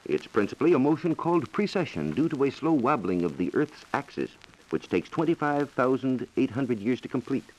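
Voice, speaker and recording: A man's voice: medium pitch, sing-song, fairly quick, a little mellow, highs cut off. A man explaining science from a script on a 1940s radio program, formal, precise and authoritative, heard on a vintage broadcast recording. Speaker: science reporter answering listener questions.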